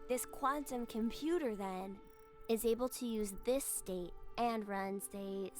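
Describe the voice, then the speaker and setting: A woman voicing a calm, earnest young robot in a video game, explaining in measured, deliberate phrases. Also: fairly high pitch. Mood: calm, explanatory. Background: game music.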